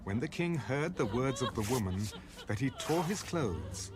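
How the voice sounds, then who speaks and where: A man's voice: medium pitch, very animated, normal speed, close to an everyday voice. A man giving scripted narration for a dramatized audio Bible.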